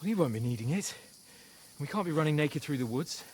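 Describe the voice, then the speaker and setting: An actor playing a nervous young companion in the woods who stammers and rushes his words. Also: on the high side, expressive, a little hiss or hum, close to an everyday voice. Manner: jittery, stammering, fast. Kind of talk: game cinematic acting.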